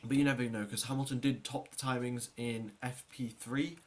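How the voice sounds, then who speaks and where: A man with a mid-pitched voice hosts a livestream in a casual, chatty way. He speaks to his viewers and gives race preview commentary, rambling a little, doubling back on words and letting sentences trail off. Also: steady tone, normal speed. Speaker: livestream host.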